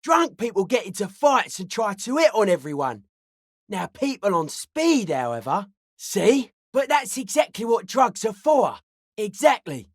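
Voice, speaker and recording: A young hardcore raver from a video game, pitched high and recorded close and dry. He is intense and anti-authority, preaching in street slang as if every thought were a grand philosophical truth. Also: big pitch swings, a little slow, dry recording, heavily acted. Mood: cocky, persuasive. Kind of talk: video game character dialogue.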